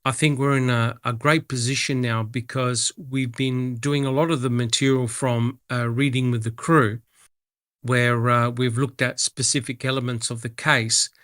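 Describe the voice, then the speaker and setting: A man speaking as a guest on a casual panel, measured and often pausing. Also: medium pitch, flat delivery, a bit bright, dry recording, studio quality. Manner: measured, uses filler pauses.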